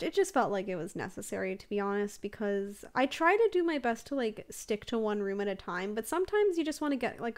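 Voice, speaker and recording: A young woman talks loosely over her game footage in a relaxed, rambling way, giggling between thoughts and piling up fillers. Her voice sits at an ordinary middle pitch for a woman and sounds a little stuffy from allergies. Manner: rambling, chatty, giggles often, many fillers. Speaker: Sims builder and streamer. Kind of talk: casual voiceover over a speed build.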